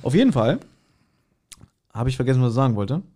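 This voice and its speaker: A man's voice: mid-range voice, sing-song, quick pace, warm tone. A man hosting a casual podcast chat, telling stories in a rambling, joking way. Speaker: podcast host.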